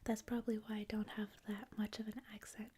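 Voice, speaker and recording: A woman with a Canadian accent, a native French speaker, hosting a livestream in a hushed, whispery ASMR style, chatting casually with viewers. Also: mid-range voice, level tone, fast talker, slightly rough. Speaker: livestream host. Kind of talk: soft-spoken ASMR, casual chat with viewers.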